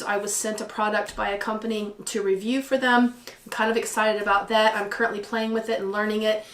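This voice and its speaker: A woman vlogging casually to camera, a little hoarse and clearing her throat now and then, chatty and excitable. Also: medium pitch, roomy sound. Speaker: photography vlogger. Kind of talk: casual vlog talk to camera. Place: living room at home by a patio door.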